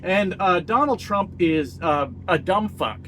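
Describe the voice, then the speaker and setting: A man's voice: sits high, animated, measured pace, sharp tone, a little hiss or hum. A middle-aged American man giving blunt, casual political commentary, folksy and self-mocking, swearing freely. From United States. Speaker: YouTube commentator.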